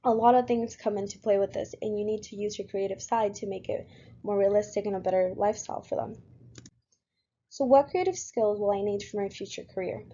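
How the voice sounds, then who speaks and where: A young woman from Puerto Rico, a college student, reading a class presentation in a steady, earnest way with a few fillers. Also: mid-range voice, fairly quick, dull audio, slight background noise. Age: young adult.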